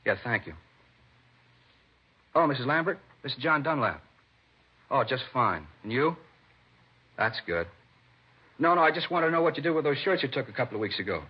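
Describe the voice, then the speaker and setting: A man's voice: mid-range voice, sing-song, moderate pace, pauses often, breathy edge. An actor playing a talkative, defensive American man under police questioning, conversational in a 1950s radio drama style. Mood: eager, explaining. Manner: conversational, indignant when pressed. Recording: dull vintage radio recording.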